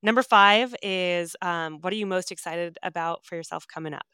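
A woman's voice: on the low side, normal speed, sharp tone, clean tone, dry recording, clean studio sound. A woman hosting a podcast interview, upbeat and affirming, chatting casually with lots of fillers. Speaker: podcast host.

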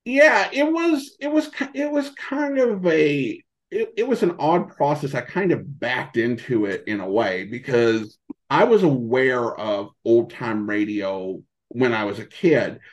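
A middle-aged man, a podcast guest, answering in a casual, halting way and often rephrasing himself. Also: mid-range voice, animated. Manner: halting, hesitant, frequently rephrasing. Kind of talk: casual interview answers, reminiscing.

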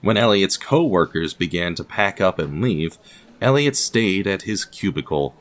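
A man with a low voice narrates a scripted radio-theatre story. He speaks portentously and straight to the listener, with music underneath. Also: expressive, a little slow, a bit bright. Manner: portentous, addresses the listener directly. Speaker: narrator and podcast host.

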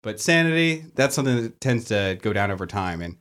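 A man's voice: fairly low pitch, fairly quick. Chatty man explaining game rules. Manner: relaxed, chatty, joking. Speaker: game master.

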